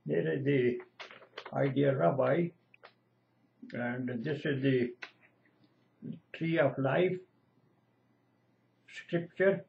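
Slow, gravelly older man lecturing. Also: on the high side. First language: Punjabi. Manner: slow, earnest, lecturing, repeats lists of divine names. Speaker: retired lecturer giving Bible exposition. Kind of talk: home-video scripture teaching. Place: small room indoors at home. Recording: dull lo-fi webcam audio.

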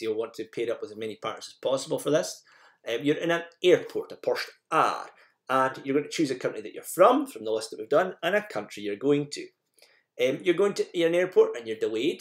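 A man presenting a language lesson in an informal, instructional manner, guiding learners through slides and examples. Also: medium pitch.

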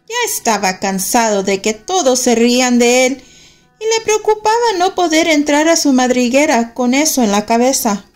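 Slow, expressive woman reading aloud. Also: high-pitched. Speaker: storybook reader. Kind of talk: children's picture book read-aloud.

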